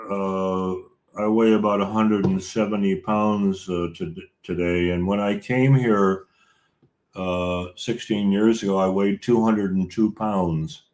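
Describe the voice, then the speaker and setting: Male American guest, eighty, fairly low voice, casual conversational delivery that wanders. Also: darkish tone. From United States. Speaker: regular guest. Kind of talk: casual chat.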